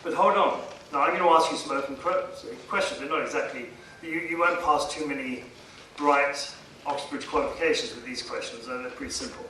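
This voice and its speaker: A man delivering a formal public speech as a guest speaker, emphatic and rhetorical, pausing for effect. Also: medium pitch, bright, forceful tone. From India. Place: conference hall, addressing a seated audience. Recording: echoey hall, distant mic, faint noise.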